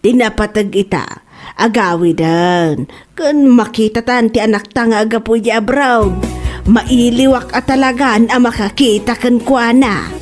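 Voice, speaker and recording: A woman performs an elderly Ilocano mother in a comedy radio drama. She frets over her family in a fairly high voice with an Ilocano Filipino accent. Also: measured pace, soft background music, natural voice. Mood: wistful, hopeful. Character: Anita, elderly fretful wife and mother.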